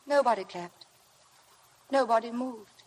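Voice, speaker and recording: An actress playing a meek, anxious young wife in a 1950s radio drama, breathless and earnest. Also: female voice, fairly high pitch, a little slow, darkish tone, poor audio. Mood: excited, then dread. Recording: vintage 1950 radio broadcast.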